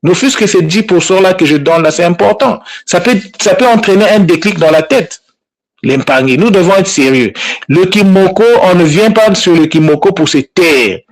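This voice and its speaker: A man's voice: high voice, lively intonation, moderate pace. A man speaking informally and insistently to his online group as their language teacher, repeating himself and scolding now and then. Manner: insistent, admonishing, with frequent repetitions. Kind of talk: informal spoken address to followers.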